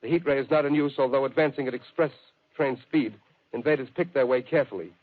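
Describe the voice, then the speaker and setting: A man acting as an urgent radio announcer from the 1930s, firing off bulletins quickly and formally. His clipped delivery comes through a thin, tinny old broadcast. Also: medium pitch, lightly acted. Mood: urgent, grave. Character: urgent male radio news announcer reading war bulletins.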